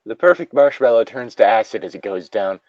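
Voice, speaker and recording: A man bantering casually and reading a story aloud haltingly, recorded on a slightly dull mic. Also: lowish voice, expressive, no room sound.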